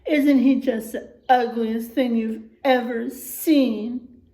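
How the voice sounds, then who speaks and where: A woman's voice: a little high, steady tone, slow, warm tone. A woman voicing vain jungle animals who mock a small warthog in a picture-book read-aloud, scornful and exclaiming. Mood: scornful teasing.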